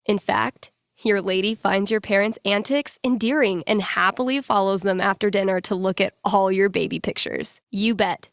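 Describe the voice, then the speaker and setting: A woman's voice: mid-range voice, steady tone, moderate pace, vocal fry, hardly any pauses, dry recording, studio quality, lightly acted. An actress playing a wry, playful young American woman in a video game, with casual radio DJ patter and a teasing way of talking. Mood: playful, dramatic.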